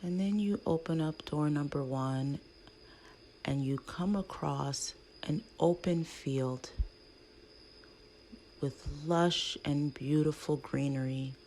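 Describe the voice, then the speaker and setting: Woman doing guided meditation, really low voice, soft and mellow, breathy almost whispering, bit of background noise. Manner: hushed, soothing, with long pauses between phrases.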